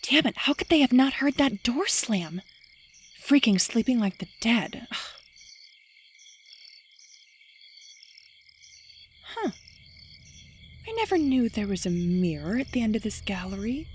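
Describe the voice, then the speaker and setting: A woman's voice: fairly high pitch, big pitch swings, normal speed, slight background noise. A woman acting a skeptical, sarcastic young prankster in an audio drama, with a dry delivery. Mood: irritated, muttering. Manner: dry, sardonic.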